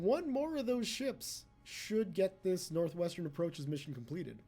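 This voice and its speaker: Lively, rambling young man with a mellow voice. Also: high-pitched. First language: English. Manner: rambling, self-mocking, talks to the game. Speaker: let's play host. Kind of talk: casual gameplay commentary.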